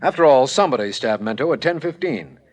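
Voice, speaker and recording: Casting: male actor as sober district attorney, medium pitch, formal narration and questioning for a vintage radio mystery. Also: animated, close to an everyday voice. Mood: puzzled. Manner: measured, formal. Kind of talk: 1940s radio acting, narration and dialogue.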